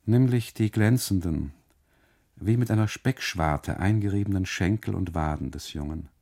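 A man narrating a literary radio monologue in the first person, deliberately, in short phrases broken by pauses. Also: low voice, creaky, dark tone.

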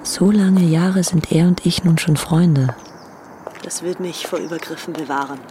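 A woman's voice: lowish voice, steady tone, a little slow, warm tone, a little hiss or hum, lightly acted. An actress playing a devoted young upper-class woman, reading her intimate, earnest letters in a documentary drama. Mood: mournful. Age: young adult. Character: young British aristocrat woman, adoring, girlishly devoted Nazi-era fanatic.

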